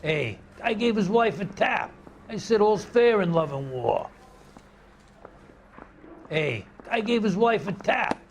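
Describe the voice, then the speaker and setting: An actor playing a chatty, ambitious young patrolman with a gravelly voice. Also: sits high, lively intonation, slow pace, pauses often, slight background noise. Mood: boastful.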